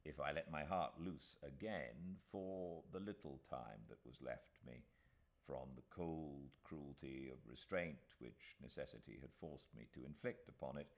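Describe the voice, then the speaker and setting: A British man reading a classic novel aloud as an audiobook narrator, with measured, expressive phrasing. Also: a bass voice. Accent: British English. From Britain.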